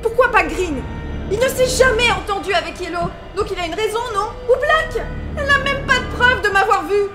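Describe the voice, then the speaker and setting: A woman voicing a panicked, defensive crewmate in a dramatic animated film dub, protesting frantically. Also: very high-pitched, moderate pace, music in the background. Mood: desperate, accusing. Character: panicked, defensive accused female crewmate, pleading.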